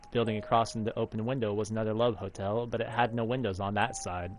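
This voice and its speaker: A man's voice: on the low side, steady tone, quick pace, slight background noise. A man in his thirties, a streamer reading a visual novel's story text aloud as narration. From United States, Alaska. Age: 30s. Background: faint game music.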